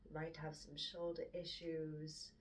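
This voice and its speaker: Slow, calm woman guiding yoga from afar. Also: deep voice, far from the mic. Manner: calm, gently encouraging cues, drops to a whisper at times. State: stuffy-nosed from hay fever. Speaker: yoga instructor. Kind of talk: guided yoga instruction.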